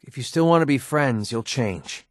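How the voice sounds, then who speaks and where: Game companion role: guarded, hot-tempered young mercenary played by a male actor, blunt and sardonic, mid-pitched, recorded dry. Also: lively intonation, normal speed, no room sound, lightly acted. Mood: hurt, measured. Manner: blunt, sardonic, earnest, conversational. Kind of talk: video game companion dialogue.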